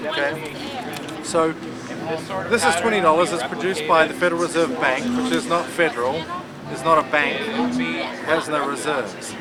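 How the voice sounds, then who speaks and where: A man's voice: a little high, normal speed. New Zealand man speaking over a crowd. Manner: earnest, explanatory, with props. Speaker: political campaigner speaking to camera. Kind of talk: impromptu outdoor speech. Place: outdoors at a busy event. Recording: loud crowd noise behind.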